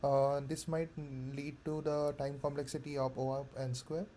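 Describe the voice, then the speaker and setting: Guy in a mock coding interview, halting, dark soft tone, faint noise behind. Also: a little high, little pitch movement. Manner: hesitant, halting. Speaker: interview candidate.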